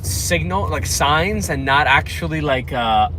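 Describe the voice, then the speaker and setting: A man's voice: fairly high pitch, expressive, a little slow. A man from Florida with an American accent chats casually to a livestream while driving, in a rambling, slangy, laid-back way. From Florida, United States. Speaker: car livestreamer. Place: inside a moving car. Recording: loud car engine and road noise. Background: car and road noise.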